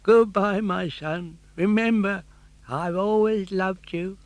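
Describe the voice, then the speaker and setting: A man's voice: high voice, steady tone, slow pace, no room sound, voice pushed up. A man voicing a tired, tender old father in a storybook reading. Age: older.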